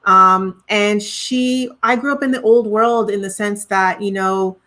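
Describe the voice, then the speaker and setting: A woman's voice: medium pitch, steady tone, a little slow, sharp tone. A middle-aged woman from Trinidad and Tobago with a Trinidadian accent, speaking earnestly as a guest in an interview, often restarting her sentences. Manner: earnest, reflective, frequent restarts. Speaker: guest, professional singer. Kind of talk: conversational interview.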